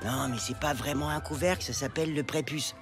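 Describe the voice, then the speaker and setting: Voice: medium pitch, fairly even intonation, brisk, lightly acted. An actor voicing a sensible, slightly scornful schoolboy classmate in a cartoon, offhand and corrective. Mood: scornful, correcting. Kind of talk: animated cartoon voice acting. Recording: music behind the voice.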